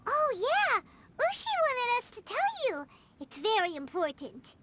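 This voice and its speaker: A woman voicing a small, childlike, earnest fairy companion in a video game with a squeaky, bubbly delivery. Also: huge pitch swings, a little slow, sharp tone, full character voice. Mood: earnest, encouraging. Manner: earnest, bubbly, third-person speech. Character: small, childlike, chirpy, well-meaning fairy companion girl. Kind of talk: cartoonish game voice acting.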